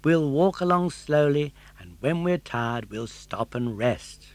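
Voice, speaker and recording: A man's voice: a little high, lively intonation, slow pace, no room sound. A man voicing an earnest, polite young boy puppet in an eager manner for an audiobook. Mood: grateful, caring.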